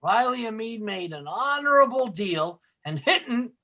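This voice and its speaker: An actor playing a sly, hot-tempered working-class husband, excitable and sarcastic, in old-time radio sitcom style. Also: male voice, sits high, normal speed.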